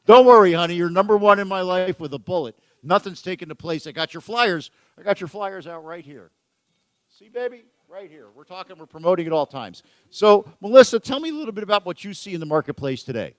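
A male radio host with a slightly raised pitch chats in an easy, joking way, often at his own expense. The sound is dry and close, with no room echo. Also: expressive, fairly quick. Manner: jokey, self-deprecating, chatty. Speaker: radio talk show host. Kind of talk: casual chat. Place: event venue with photographers.

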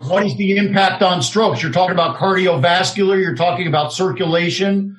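A man's voice: sits high, fairly quick, fairly bright tone, slight background noise. A brash, self-assured man in his fifties hosting an interview show, cutting in with questions. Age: 50s. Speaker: show host. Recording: roomy echo.

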